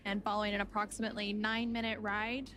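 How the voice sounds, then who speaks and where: Female live broadcast commentator, mid-pitched with very even intonation, music clearly underneath. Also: quick pace. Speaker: launch webcast commentator.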